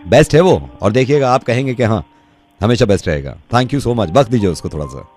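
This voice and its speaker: A man's voice: fairly low pitch, lively intonation, fairly quick, over music. A male radio host with a Delhi Hindi accent, talking earnestly and persuasively to a caller on air. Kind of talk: on-air phone conversation.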